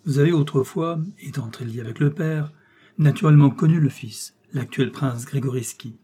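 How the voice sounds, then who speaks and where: A man's voice: medium pitch, moderate pace, dark tone, slight background noise, lightly acted. A man voicing a composed, polite investigator who puts formal, probing questions in an audiobook. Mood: courteous, probing. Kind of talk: audiobook character dialogue.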